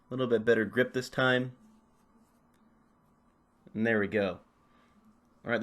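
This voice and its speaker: A man with a medium-pitched voice presents a practical tutorial. He explains each step casually and without a script, talking as he works. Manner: unscripted, narrating while working. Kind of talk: casual step-by-step hands-on instruction.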